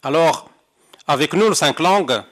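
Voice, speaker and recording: A man's voice: a little high, lively intonation, moderate pace. Older Moroccan man reading a wry speech. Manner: wry, rhetorical, ironic asides. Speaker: conference interpreter giving a talk. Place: seminar hall.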